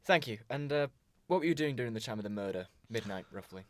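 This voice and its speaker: A man's voice: mid-range voice, animated, fast, close to an everyday voice. A man playing a brusque, suspicious police inspector in an amateur radio drama, businesslike and probing. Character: brusque, suspicious male police inspector questioning circus performers. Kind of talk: amateur 1920s-set radio drama acting.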